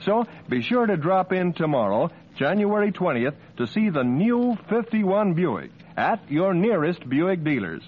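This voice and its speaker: A man's voice: high-pitched, big pitch swings, unhurried, hardly any pauses, a little hiss or hum. A man announcing in polished, upbeat 1950s radio commercial style. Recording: muffled old radio recording with music.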